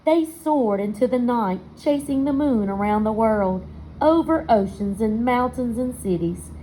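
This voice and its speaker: A woman reads a children's picture book aloud at a slow, measured pace. Her voice is soft-edged and mellow, and she tells the story expressively, speaking directly to young listeners. There is faint noise in the recording. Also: a little high, poor audio. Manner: expressive storytelling, pausing to ask listeners questions. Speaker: storybook reader.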